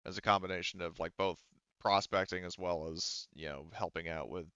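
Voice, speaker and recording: A man acting as game master in casual, improvised talk, halting as he thinks aloud. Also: low-pitched, steady tone, bright, forceful tone, clear, resonant tone, no echo, dull audio. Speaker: game master of a tabletop roleplaying game.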